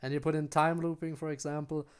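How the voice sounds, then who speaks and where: A man's voice: a little high, little pitch movement, fast talker, clear, resonant tone. A man streaming a video game with casual commentary and wry, muttered asides to himself. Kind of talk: casual live gameplay commentary.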